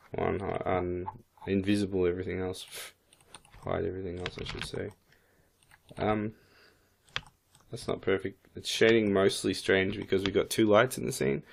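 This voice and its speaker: A man with a croaky voice, casually thinking aloud as he walks through a 3D modeling software tutorial. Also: low voice, a little hiss or hum. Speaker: 3D modeling instructor. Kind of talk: screen-recorded software tutorial.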